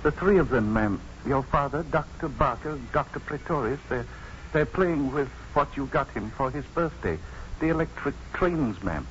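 A man's voice: mid-range voice, expressive, moderate pace, close to an everyday voice. Muffled old-radio manservant, polite and earnest, played by a man. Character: deferential, earnest manservant and loyal companion to a doctor. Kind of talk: 1950s radio drama acting. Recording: muffled, noisy 1950s radio recording.

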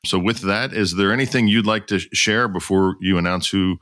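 Middle-aged male podcast host, very low pitch, easygoing, repeats words. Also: brisk, a bit bright. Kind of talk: podcast interview.

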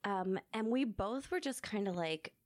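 A woman's voice: medium pitch, expressive, measured pace, darkish tone, no echo, clean studio sound. A woman co-hosting a podcast with casual, giggly, self-deprecating banter and informal phrasing. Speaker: podcast co-host.

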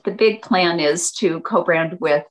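A woman in her fifties, a guest chatting casually in an interview, animated and acting out what her friends said. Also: measured pace. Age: 50s. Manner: animated, acts out quoted friends in a higher voice. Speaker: guest entrepreneur.

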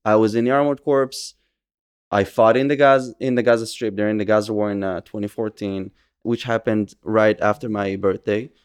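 A man from Israel in his late twenties with an Israeli accent, telling his story as an interview guest in a quick, energetic way full of fillers. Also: on the low side, clear, resonant tone. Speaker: guest, social media activist. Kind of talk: conversational interview.

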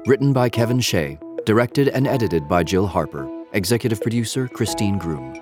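A man's voice: on the low side, expressive, moderate pace. A man narrating a scripted audio drama in a storytelling style. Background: music.

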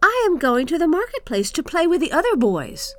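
A woman plays a peevish, excitable little boy from a storybook. The voice is pitched high and swoops around, emphatic and a bit scornful. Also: a little slow. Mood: eager, excited. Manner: emphatic, exclaiming.

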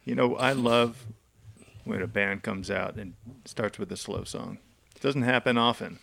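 A man's voice: on the low side, measured pace, creaky, a bit bright. A man interviewing a guest in a casual, conversational style.

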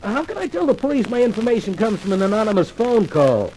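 A man's voice: high voice, very animated, fast talker, mellow. A man playing a flippant, self-assured celebrity columnist in a 1950s radio detective drama, with a wisecracking manner. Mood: exasperated. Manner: wisecracking, cocky. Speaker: lead actor and first-person narrator. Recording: noisy old radio drama recording.

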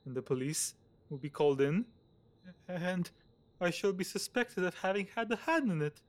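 A nervous, fretful gentleman in an audio drama, acted by a man, with a notably high voice. He stammers and pleads anxiously, frightened of being blamed. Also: expressive, moderate pace. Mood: despairing.

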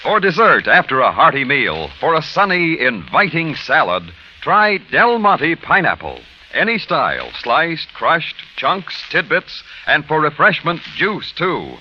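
A man's voice: a little high, huge pitch swings, a little slow, a little hiss or hum. An American man announcing 1940s radio commercials and credits with a polished, emphatic sales delivery. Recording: muffled vintage radio recording, music behind.

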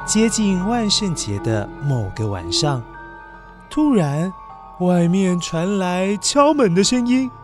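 A man narrating a children's story in a gentle, coaxing storyteller manner, addressing the young listener. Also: high-pitched, sing-song, takes it slowly. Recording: background music under narration.

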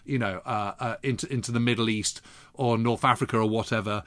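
A man co-hosting a history podcast, making his points quickly and firmly in casual talk. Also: fairly low pitch, fairly even intonation, fairly bright tone, no echo. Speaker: podcast co-host. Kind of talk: casual conversational history chat.

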